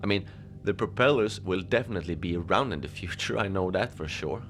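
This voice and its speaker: Casting: male actor as unbothered lone polar station keeper, deep-voiced with gravelly fry, deadpan musings for a fiction podcast radio log. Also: a little fast, a little hiss or hum. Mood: deadpan, confiding. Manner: musing, conversational, deadpan about absurd things. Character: easygoing, whimsical lone Antarctic station manager, rambling unbothered. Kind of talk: first-person audio fiction monologue, rambling radio log.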